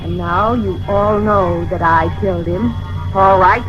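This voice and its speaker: A woman's voice: mid-range voice, fairly even intonation, unhurried, lightly acted. An actress playing a cold, desperate, selfish female killer in an intense, theatrical 1950s radio melodrama style. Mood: cold menace. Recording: dull vintage radio recording with music.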